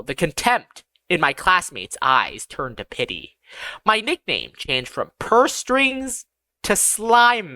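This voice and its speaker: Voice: medium pitch, pushed and bright, dry recording. A narrator reading a first-person fantasy story aloud in a scripted audiobook style.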